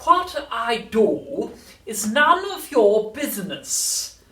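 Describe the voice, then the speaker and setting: A storybook narrator voicing a cranky, furious old grandmother who yells and screeches. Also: medium pitch, big pitch swings, very slow, far from the mic, voice pushed up, exaggerated voice. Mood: bossy, snappish. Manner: yelling, screeching, exclamatory. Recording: roomy, echoey, away from the mic.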